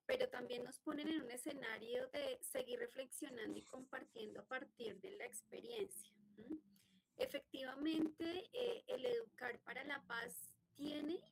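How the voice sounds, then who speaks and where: High, gravelly woman speaking slowly. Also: low-quality recording. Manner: reflective, with hesitation fillers. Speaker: professor. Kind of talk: academic panel answer.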